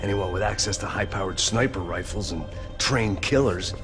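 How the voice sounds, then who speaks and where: A man acts out a middle-aged American ex-cop in a noir video game. The voice sits very low, weary and deadpan, with sour, fatalistic wit. Music plays clearly behind it. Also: moderate pace, natural voice. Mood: weary, wry. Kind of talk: hardboiled noir game narration and dialogue.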